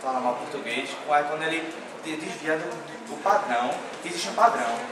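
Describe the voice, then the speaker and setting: A man being interviewed, answering haltingly with many restarts. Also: on the high side, crowd noise. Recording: very echoey, distant, noisy.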